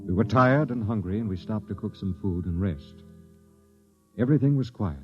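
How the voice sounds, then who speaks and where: Stern patrol captain, played by a male actor, low and measured, authoritative, vintage sci-fi radio style, dry narrow-band sound. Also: animated, music in the background, natural voice. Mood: grave narration. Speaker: captain and narrator. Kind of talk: 1950s radio drama acting. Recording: dry, band-limited 1950s radio recording.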